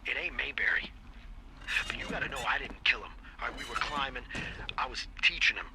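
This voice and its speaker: A middle-aged male actor playing a bitter, defensive reclusive father confessing on tape, halting and folksy with an American accent. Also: medium pitch, lively intonation, heavy background noise, close to an everyday voice. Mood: anguished, resigned. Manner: halting, folksy, plain-spoken, profane. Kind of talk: naturalistic game acting, taped monologue. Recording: taped message with music underneath.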